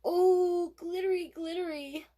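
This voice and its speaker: A woman voicing an eager, excitable little boy in a children's storybook, with simple childlike delivery. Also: very high voice, a little slow, voice pushed up. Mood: delighted. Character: excitable little boy who loves sparkly things.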